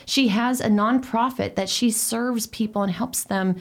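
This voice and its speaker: A woman's voice: on the high side, fairly even intonation, unhurried. A woman hosting a casual interview show, upbeat and affirming, often repeating her approval. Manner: enthusiastic, affirming, lots of "I love it". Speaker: podcast host.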